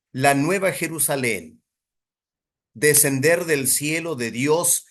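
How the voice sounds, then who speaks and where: A man teaching a Bible study in a deliberate, emphatic sermon style, putting rhetorical weight on his phrases. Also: mid-range voice, fairly bright tone.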